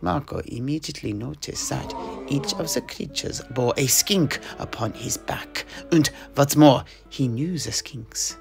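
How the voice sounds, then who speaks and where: A man's voice: medium pitch, a little slow, creaky. Man playing a storytelling mercenary knight, music behind. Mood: dramatic recounting. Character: male mercenary knight recounting his grandfather's voyage in a tavern. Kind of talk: in-universe storytelling audio play.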